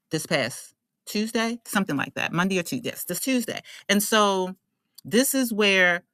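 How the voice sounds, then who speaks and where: A woman's voice: sits low, sharp tone, no room sound, studio quality. A woman giving a casual astrology forecast as an online host, talking in an emphatic, conversational way with slang and rhetorical questions.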